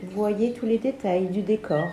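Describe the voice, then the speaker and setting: A woman leading a guided yoga relaxation as instructor, speaking in soothing, measured phrases with pauses. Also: medium pitch, mellow. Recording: echoey room, background noise, birdsong.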